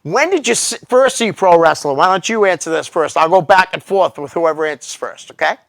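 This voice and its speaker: Man, interview host, high lively voice, gravelly edge, loose and conversational. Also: fairly quick, no room sound. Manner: loose, goes off script, asks follow-ups. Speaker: podcast host. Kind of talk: casual interview.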